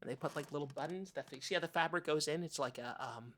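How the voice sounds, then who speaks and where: A man co-hosting an improvised comic podcast, talking in a halting, hesitant and rambling manner. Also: fairly high pitch, fairly bright tone, slight background noise. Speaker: podcast co-host.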